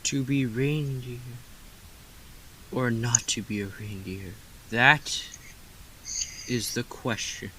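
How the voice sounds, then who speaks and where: A man's voice: mid-range voice, fairly even intonation, sharp tone, a little hiss or hum, poor audio. A man playing a tormented, self-pitying reindeer as a tragic Shakespearean hero in a radio play, brooding melodramatically. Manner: melodramatic, brooding soliloquy with drawn-out pauses.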